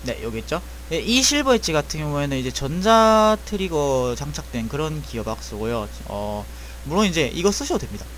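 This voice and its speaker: A man's voice: a little high, very animated, a little fast, hardly any pauses, a bit bright, a little hiss or hum. A man walking viewers through buying airsoft gearbox parts, explaining casually step by step and often correcting himself mid-sentence. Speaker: airsoft repair tutor. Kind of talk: screen-recorded parts-shopping walkthrough.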